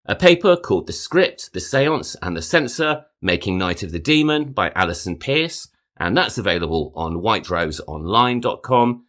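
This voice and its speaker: Low-voiced man hosting a podcast. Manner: measured, lively rises then falling phrase endings. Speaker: podcast host. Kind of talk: scripted narration.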